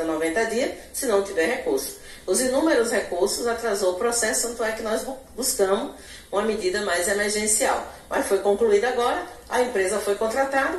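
A woman's voice: mid-range voice, distant mic. Middle-aged Bahian woman, formal and echoey. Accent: Brazilian Portuguese, Bahia. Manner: measured bureaucratic explanation, occasional self-corrections. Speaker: municipal education secretary. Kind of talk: formal interview answers. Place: indoor office in front of a logo backdrop. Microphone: handheld TV reporter mic. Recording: echoey room, voice a bit off-mic.

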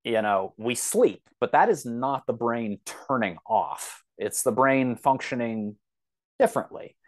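A man in his thirties talking casually as a podcast guest, thinking aloud in a halting, thoughtful way. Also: mid-range voice, expressive, no room sound.

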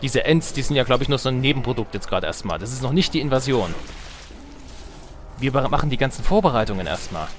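A man's voice: mid-range voice, expressive, fast talker. A young adult man doing casual let's play commentary, chatty and thinking aloud as he goes. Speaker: let's player. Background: game music.

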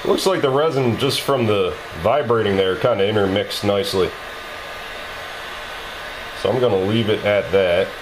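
A man's voice: on the low side, moderate pace, long pauses, background noise. A man hosting a maker channel, chatting and joking casually as he works in his garage workshop. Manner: joking, exclaiming and thinking aloud while working.

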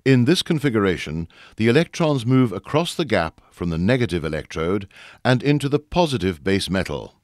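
Deep-voiced male instructional narrator, very lively intonation. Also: no room sound, clean studio sound. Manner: measured, formal explaining. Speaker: narrator of a training video. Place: close, dry studio voice-over.

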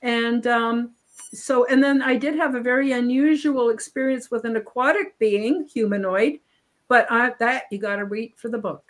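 A woman's voice: a little high, steady tone, moderate pace. Rambling woman on a video call. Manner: rambling, frequent restarts and fillers. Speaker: guest. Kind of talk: casual interview talk. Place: home room on video call.

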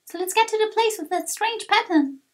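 A woman's voice: very high-pitched, fairly quick. A woman voicing an eager young creature explorer in a video game, full of exclamations. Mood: excited, awed.

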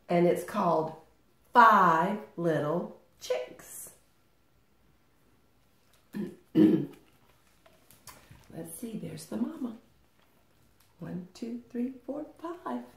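A woman in her sixties reads a picture book aloud as a storytime host. Her delivery is extremely unhurried and melodic, phrased carefully for small children. It is recorded in an echoey living room. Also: medium pitch. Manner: deliberate, expressive, sing-song phrasing for small children. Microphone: camera a short distance away. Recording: roomy home recording.

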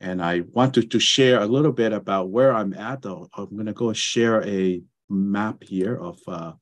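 A man's voice: lowish voice, measured pace. A middle-aged man from Tonga with a Tongan accent, giving a lecture over a video call, speaking hesitantly with many fillers and restarts. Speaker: guest presenter, anthropology professor. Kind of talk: online lecture with slides. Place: home study with bookshelves.

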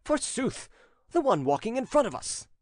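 A man's voice: fairly high pitch, sing-song, fairly quick, dry recording, studio quality, voice pushed up. A man voicing a haughty, menacing prince in an audiobook, with an imperious, ironic and commanding manner. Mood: imperious, angry. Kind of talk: audiobook character acting.

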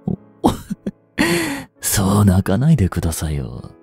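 A young man in his twenties playing a treacherous, smooth-tongued captive prince and spy in a Japanese audio drama, with courteous, theatrical speech that can turn mocking. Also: deep voice, huge pitch swings, darkish tone, exaggerated voice. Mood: mocking, cruel. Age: 20s. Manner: slow, theatrical, courteous speech slipping into taunts. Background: background music.

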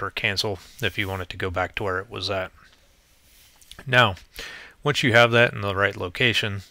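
Man, low pitch, very bright crisp-edged tone, dry close recording, plainspoken tutorial narration, step-by-step. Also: no room sound. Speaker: tutorial presenter. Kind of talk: explanatory software demo narration, informal.

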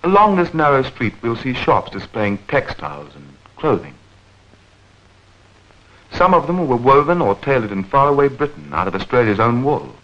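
A man narrating a 1940s educational newsreel in a measured, clearly enunciated, explanatory manner. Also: mid-range voice, big pitch swings, fairly bright tone. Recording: dull vintage 1940s film soundtrack.

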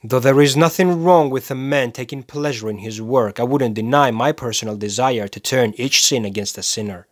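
An actor playing a cold, self-righteous serial killer in a dramatic film monologue, speaking deliberately and with menace, pausing for effect. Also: male voice, medium pitch, no echo, studio quality, close to an everyday voice. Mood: cold, controlled. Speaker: actor performing a movie monologue.

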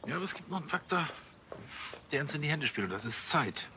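An actor playing a fussy, self-assured middle-aged police chief in a TV drama. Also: male voice, a little high, expressive, quick pace, close to an everyday voice. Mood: confident. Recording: some background noise and ambience.

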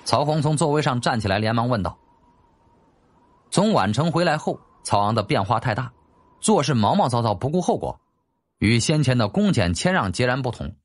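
A man's voice: mid-range voice, sing-song, moderate pace. A man narrating a scripted story as a dramatic audiobook storyteller.

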